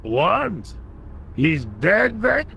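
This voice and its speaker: Game worker: blustering, scornful labourer, high creaky voice, music in the background. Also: male voice, very animated, drawn-out words, pushed and bright, a little hiss or hum, full character voice. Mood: brusque. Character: cocky, gruff, work-shy Parisian workman. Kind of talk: video game dialogue acting.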